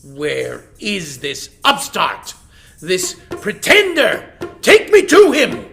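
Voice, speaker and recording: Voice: medium pitch, huge pitch swings, slow pace, creaky, fairly bright tone, faint music behind, pitched above the performer's own voice, full character voice. Storyteller doing a roaring, blustering lion king. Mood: outraged. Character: angry boastful male lion king.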